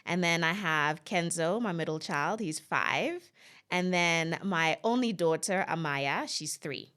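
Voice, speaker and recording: A woman's voice: lowish voice, lively intonation, unhurried, fairly bright tone, smooth. A woman in her late thirties, a podcast guest, talking chattily with many fillers and light laughter. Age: 30s. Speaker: podcast guest and content creator. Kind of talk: casual podcast conversation.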